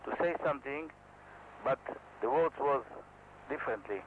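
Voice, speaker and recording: Israeli pilot, halting modest English. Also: male voice, medium pitch, a little hiss or hum, rough recording. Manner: self-deprecating, halting non-native English. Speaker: helicopter pilot instructor. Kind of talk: candid talk to camera.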